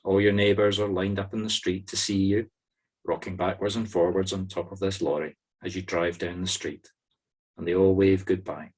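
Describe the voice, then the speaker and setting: A Scottish man with a low voice leads a guided meditation. He gives short, soothing instructions and leaves pauses between them. The sound is that of a video call. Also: flat delivery, a little mellow, breathy edge. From Scotland. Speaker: spiritual medium leading a meditation group. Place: online video-call circle.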